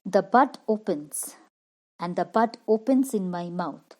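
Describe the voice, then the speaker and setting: A woman's voice: medium pitch, slow. A woman explaining a poem line by line as a literature teacher, repeating and rephrasing each point.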